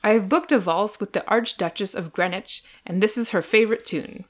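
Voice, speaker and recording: A woman's voice: mid-range voice, a little fast, close to an everyday voice. A woman voicing a genial, world-weary male prince in a majestic, affectedly posh manner, from a storybook. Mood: gracious.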